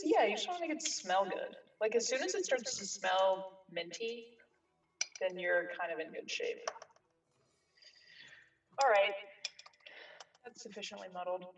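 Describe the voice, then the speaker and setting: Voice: medium pitch, animated, measured pace, pauses often, recorded from a distance, slight background noise, low-quality recording. A young adult co-host in their twenties, casually walking others through a cocktail and bossing them between steps, with some swearing. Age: 20s. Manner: bossy, instructive, swearing. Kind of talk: casual chat while making a drink.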